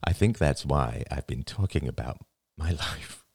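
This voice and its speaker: A man voicing a grieving elderly father in an audiobook, gentle and halting, close to tears. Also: very deep voice, expressive, husky, dry recording, heavily acted. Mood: tearful, pleading. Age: older. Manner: halting, sighing, breaking into sad laughter. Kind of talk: dramatic audiobook dialogue.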